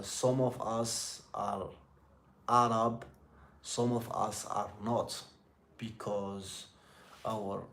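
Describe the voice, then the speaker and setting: A middle-aged man with an Algerian accent talks to live viewers in a low, creaky voice. His English is halting and circles back on itself as he shares casual motivational thoughts. The room has a noticeable echo around him. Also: fairly bright tone. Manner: rambling, repetitive, halting second-language English. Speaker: livestream host. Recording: roomy echo with faint background noise.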